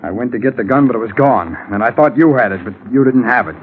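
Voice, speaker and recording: An actor playing a shifty, cornered hired thug in an old radio crime drama, wheedling and protesting in a streetwise American accent. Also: male voice, medium pitch, animated, fast, natural voice. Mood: pleading, evasive. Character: shifty male hired thug, cornered, whining, brazen liar. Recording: tinny, hissy old radio recording.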